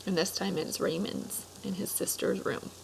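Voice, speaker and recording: A woman reading a children's picture book aloud expressively, pausing for casual explanatory asides to young listeners. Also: on the low side, fairly even intonation, vocal fry, background noise. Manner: explanatory, stops to clarify words for children. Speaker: read-aloud storyteller.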